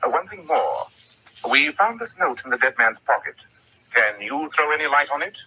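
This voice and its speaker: Commanding detective, old radio mystery: probing, courteous, sharp forceful voice, faint hiss. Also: male voice, medium pitch, big pitch swings, moderate pace, heavily acted. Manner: incisive, commanding, polite. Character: brisk, coolly probing gentleman detective. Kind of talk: old-time radio drama acting. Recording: thin, noisy vintage radio recording.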